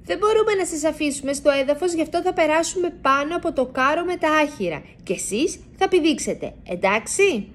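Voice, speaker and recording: A woman voicing a kindly female bat who explains things calmly. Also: sits high, few pauses, slight background noise, pitched above the performer's own voice. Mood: friendly. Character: kindly female bat, calm night creature.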